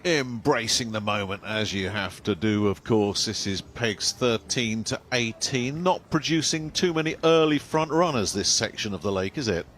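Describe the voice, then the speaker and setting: A man presenting live sports commentary, jokey and lively. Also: lowish voice, normal speed, hardly any pauses, a little hiss or hum.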